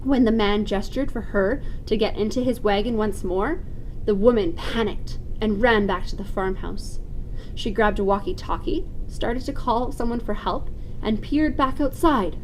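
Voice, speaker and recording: A woman reading a spooky story aloud in an expressive, dramatic, suspenseful storytelling style. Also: medium pitch, a little hiss or hum, rough recording.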